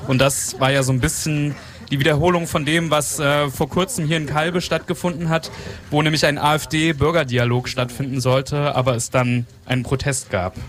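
A man's voice: a little high, little pitch movement, normal speed, fairly bright tone. A man hosting a live radio broadcast in a casual, explanatory style. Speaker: radio host.

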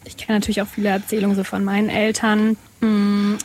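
A woman's voice: mid-range voice, monotone, fairly quick, a little mellow, slight background noise. A woman in her twenties from eastern Germany, co-hosting a podcast and chatting loosely with lots of fillers. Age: 20s. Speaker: podcast co-host. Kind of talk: casual conversational interview.

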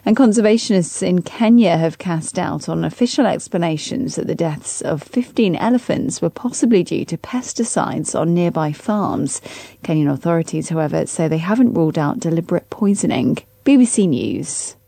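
Low, mellow woman newsreader with gravelly creak. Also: no echo. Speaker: radio newsreader and announcer. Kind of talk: scripted news bulletin and continuity. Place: dry broadcast studio.

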